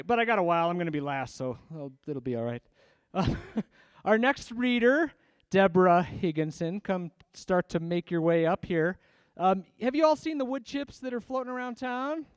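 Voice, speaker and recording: A man's voice: sits high, clear, resonant tone, no room sound. A man hosting a reading event, introducing the next reader in a friendly, rambling way. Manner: friendly, rambling, with fillers and restarts. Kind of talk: casual emcee introductions.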